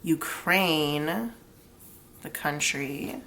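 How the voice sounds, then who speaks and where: A woman's voice: deep voice, lively intonation, extremely slow, fairly bright tone. A young woman with a stuffed-up nose from a cold, chatting casually through a tarot reading and explaining each pull with lots of "like". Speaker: tarot reader.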